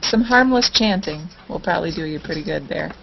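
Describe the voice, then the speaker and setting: A woman's voice: fairly low pitch, expressive, fairly quick, vocal fry, crowd noise. Dryly joking woman on a muffled web call. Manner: dry, mock-formal humour. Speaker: panel guest in an online hangout. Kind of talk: casual chat. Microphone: video call. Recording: dull, slightly noisy web call audio.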